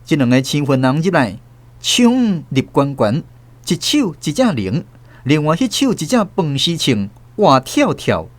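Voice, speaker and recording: Lively male radio drama narrator. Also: on the high side, measured pace, no echo. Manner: lively storytelling. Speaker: host and narrator.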